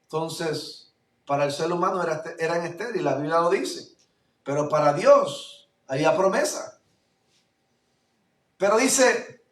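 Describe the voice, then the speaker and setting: A male pastor from Puerto Rico with a Puerto Rican accent, preaching a fervent sermon that swings between loud declarations and low asides. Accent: Puerto Rican Spanish. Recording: echoey room, voice from far off mic.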